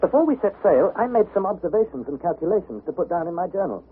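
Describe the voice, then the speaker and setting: An earnest young adventurer, tinny radio. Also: male voice, sits high, sing-song, fast. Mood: tense, measured. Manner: earnest, dramatic, precise. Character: earnest, anxious young nephew narrating his adventure. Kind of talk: old radio drama narration and dialogue. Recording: thin, noisy vintage radio recording.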